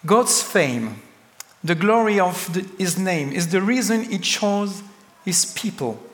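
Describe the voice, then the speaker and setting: A man with a French accent preaching a sermon as a pastor, speaking slowly and deliberately with emphatic pauses. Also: high-pitched, expressive, long reverb tail.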